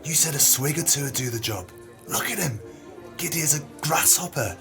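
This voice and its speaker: An actor playing a jealous, scheming swindler in an audio play, mock-genteel and bossy. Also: male voice, on the high side, lively intonation, normal speed, music in the background. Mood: impatient, malicious. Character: scheming, jealous swindler, impatient and falsely agreeable.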